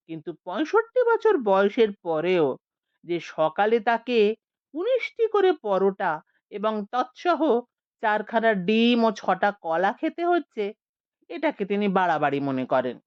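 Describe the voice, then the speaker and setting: Woman reading a story slow, voice swooping way up and down, dry dull-ish recording. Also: smooth, no room sound. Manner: very expressive, wide-swinging pitch. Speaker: audiobook narrator. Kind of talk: storytelling narration.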